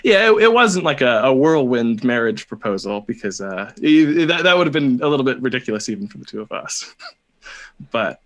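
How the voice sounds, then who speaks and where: A man's voice: mid-range voice, very animated, fairly quick. Young american guy chatting on a podcast, kinda hesitant, mid-range voice, telling his own story. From United States, California. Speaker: podcast guest. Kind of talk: casual personal storytelling.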